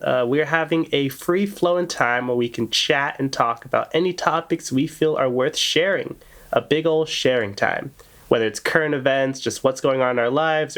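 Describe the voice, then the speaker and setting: A young man hosting a podcast in casual chat, getting over a cold, rambling with deadpan humor. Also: mid-range voice. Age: young adult. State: recovering from a cold. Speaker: podcast host.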